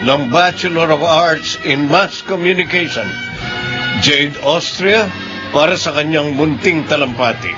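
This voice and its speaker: An actor playing a formal graduation announcer, slow and stately, in a radio drama. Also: male voice, fairly high pitch, natural voice. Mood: solemn, proud. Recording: dull radio broadcast over loud music.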